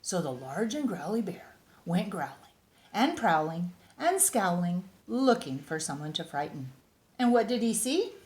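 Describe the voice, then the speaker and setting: An older woman reads aloud to children in an echoey room. Her delivery is slow and expressive, with words stretched out and pitch that swoops widely. She slips into chatty asides for her young listeners. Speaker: storyteller grandmother reading to kids.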